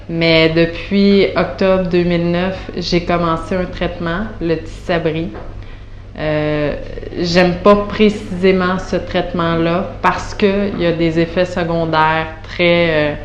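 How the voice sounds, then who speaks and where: A woman in her thirties from Montreal with a Quebec French accent gives an unscripted testimonial, hesitant and full of fillers and restarts. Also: fairly low pitch. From Montreal, Canada. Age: 30s. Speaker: patient giving a testimonial. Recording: roomy echo with faint background noise.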